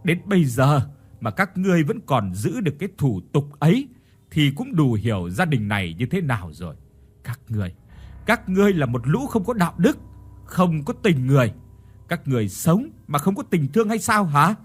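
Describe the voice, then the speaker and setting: A man's voice: mid-range voice, big pitch swings, fairly quick, darkish tone, slightly rough. A man voicing a wronged young wife, anguished and defiant, with a tearful, choked delivery in a dramatic audio story. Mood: bitter. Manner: tearful, choked, repeating phrases in outrage. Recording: music behind the voice.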